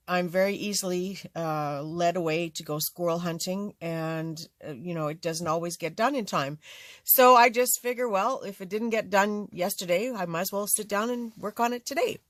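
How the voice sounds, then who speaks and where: An older woman with a slightly raspy voice hosting a casual, rambling craft tutorial, full of ums and asides. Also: mid-range voice, a bit bright, dry recording, clean studio sound. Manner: rambling, chatty, many fillers. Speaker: craft channel host.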